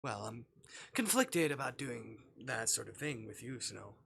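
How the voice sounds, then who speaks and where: A man voicing a calm, cynical doctor who is an obsessive kidnapper in a visual novel, speaking in a measured, condescending way. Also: medium pitch, vocal fry, slight background noise, full character voice. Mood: calm, possessive. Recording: faint music behind.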